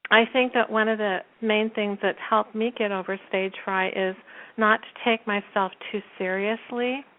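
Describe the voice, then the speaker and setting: A woman guest with a naturally soft voice, talking casually in an interview and laughing often. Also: mid-range voice, fairly even intonation, moderate pace. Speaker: guest, performance coach. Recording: tinny phone line.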